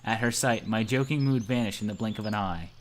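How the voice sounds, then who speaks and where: A man's voice: fairly low pitch, brisk, slight background noise. A young man reading visual novel narration aloud and joking with friends as he goes. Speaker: narrator in a group let's play. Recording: music behind the voice.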